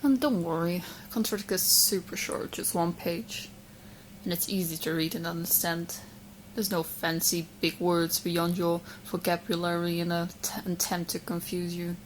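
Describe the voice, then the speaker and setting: A woman acts out a young witch hopelessly fixated on the listener in an audio roleplay. She talks in a slightly low female voice, coy and chatty, with smug confidence and small hesitant asides. A faint ambient noise sits behind her. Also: close to an everyday voice, poor audio.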